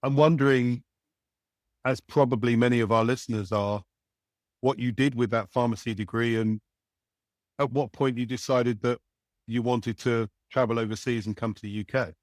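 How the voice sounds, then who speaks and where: A man hosting a podcast, asking measured questions that prompt his guest to go on. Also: medium pitch, steady tone, no echo, studio quality. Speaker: podcast host. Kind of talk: interviewing a guest.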